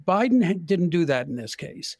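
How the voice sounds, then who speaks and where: An older man with an American accent giving opinionated, deliberate political commentary in conversation as a podcast guest. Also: high-pitched, big pitch swings, darkish tone, no room sound, studio quality.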